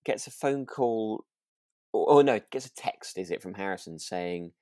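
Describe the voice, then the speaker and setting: A man's voice: on the low side, expressive, normal speed, darkish tone, no room sound, clean studio sound. A British man co-hosting a podcast, chatting casually about a drama in a rambling, hesitant way. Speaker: podcast co-host.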